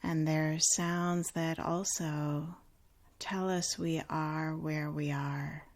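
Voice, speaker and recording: Female meditation guide, low register, very slow delivery, calm and unhurried, gentle instruction with generous pauses.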